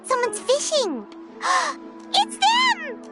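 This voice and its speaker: An extremely high, squeaky little fairy for a video game. The delivery is childlike, excitable and dramatic, leaping wildly in pitch over loud music. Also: female voice, drawn-out words, heavily acted. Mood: excited. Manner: exclamatory, dramatic. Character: tiny chirpy excitable childlike fairy companion.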